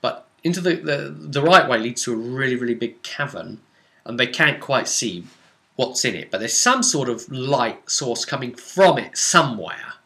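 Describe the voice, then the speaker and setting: A man's voice: medium pitch, lively intonation, normal speed, vocal fry, sharp tone. A man casually recapping a tabletop role-playing session as game master, telling it dramatically in a rambling, vivid way. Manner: dramatic, rambling retelling with vivid action descriptions. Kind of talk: casual animated storytelling.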